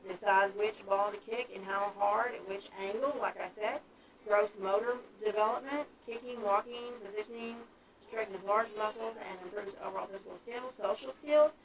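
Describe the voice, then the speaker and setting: A woman in her fifties from Texas talks casually on a livestream, working through her points in an upbeat, chatty way with frequent ums. She sits back from the microphone, so her voice is a bit distant. A clear crowd murmur carries on behind her. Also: mid-range voice. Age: 50s. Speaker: children's museum staff member. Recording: distant mic with crowd noise.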